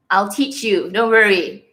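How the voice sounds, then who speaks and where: A woman's voice: fairly high pitch, bright, forceful tone. A young woman from Malaysia with a Malaysian English accent and bits of Singlish, chatting casually on a livestream, laughing often and reading out viewer comments. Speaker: livestream host.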